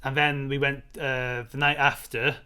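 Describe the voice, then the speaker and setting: A man chats casually as co-host of a film show. His talk wanders like an enthusiastic fan's, at a middling pitch and in an informal style. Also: little pitch movement, measured pace, pushed and bright, clear, resonant tone.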